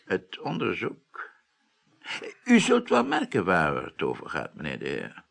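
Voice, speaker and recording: An actor playing a genteel, authoritative older parliamentary chief in a Dutch radio drama, speaking in an emphatic, imperious manner. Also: male voice, low voice, wildly swooping pitch, measured pace, creaky, fairly bright tone, exaggerated voice. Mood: stern, commanding.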